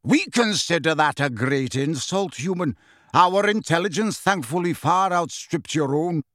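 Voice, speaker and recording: A man voicing a proud, stern male centaur leader in a storybook, rough and haughty, with emphatic delivery. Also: a little high, lively intonation, unhurried, a bit bright, no echo, studio quality, voice pushed up. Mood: haughty, offended. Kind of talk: audiobook character voice.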